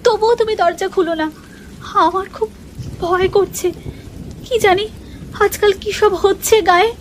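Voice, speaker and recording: A woman's voice: very high-pitched, fairly even intonation, moderate pace, slight background noise. A woman voicing a fearful, superstitious village wife in a cartoon, anxious and insistent in her warnings. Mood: terrified.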